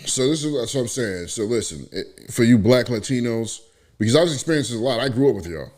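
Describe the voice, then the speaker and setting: A man's voice: medium pitch, quick pace. A man from the Bronx, hosting a casual podcast chat and telling stories with lots of animation. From the Bronx, New York. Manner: animated storytelling, acts out quoted voices. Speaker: podcast host.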